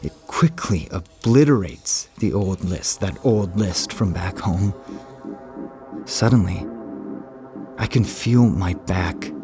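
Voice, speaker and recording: A haunted man in an audio horror monologue, low in pitch. He speaks very slowly and deliberately, in broken, repeated phrases, as if confessing something dark. Faint music plays beneath him. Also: lively intonation. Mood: dark, intimate. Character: haunted man confiding a dark, violent secret. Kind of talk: slow, scripted dramatic monologue for an audio horror drama.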